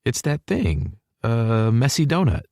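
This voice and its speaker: A man's voice: medium pitch, very animated, a little slow, creaky, a little mellow, dry recording, clean studio sound, voice pushed up. A man voicing a blunt but caring young college man who teases his girlfriend, in an audiobook. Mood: teasing.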